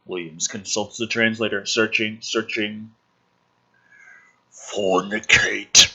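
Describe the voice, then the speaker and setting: A man reads a script aloud as a host, with a mocking, theatrical manner. His voice is forceful and a little rough, and it swings widely in pitch as he adds exasperated asides. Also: very animated, unhurried, rough recording. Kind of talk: animated script read-through with sarcastic asides.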